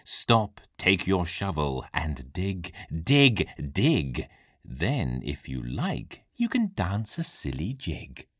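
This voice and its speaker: A man's voice: low voice, huge pitch swings, slow pace, no room sound, clean studio sound, full character voice. A man playing a growly, hearty pirate captain in a children's storybook, with a stage West Country pirate accent and a theatrical, salty manner. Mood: excited, hearty. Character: gruff hearty old-salt pirate captain, a scheming grown man. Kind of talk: acted children's storybook dialogue.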